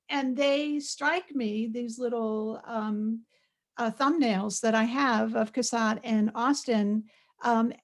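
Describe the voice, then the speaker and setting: An older woman with a soft voice gives an informal online lecture in a conversational, hesitant way, pausing often. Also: fairly high pitch. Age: older, around 70. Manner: conversational, hesitant, with frequent pauses. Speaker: guest lecturer, art historian and curator. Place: home office, on a video call. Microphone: added external microphone on a computer.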